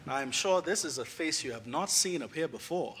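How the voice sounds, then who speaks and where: A man's voice: fairly high pitch, animated, a little fast, background noise. A man in his thirties with a Bahamian accent, a guest priest preaching a sermon in a church sanctuary into a pulpit mic, genial and rising to emphatic delivery.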